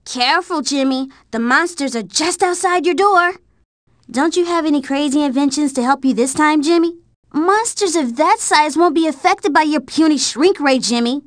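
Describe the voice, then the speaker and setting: A woman voicing a bossy, sassy young schoolgirl in an animated video game, with a nagging, exclamatory delivery. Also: sits high, moderate pace, dry recording, clean studio sound, lightly acted. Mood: exasperated, urgent.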